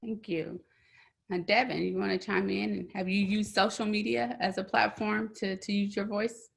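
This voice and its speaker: A woman moderating a roundtable, posing measured questions to each panelist and thanking them. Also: mid-range voice, fairly even intonation, creaky.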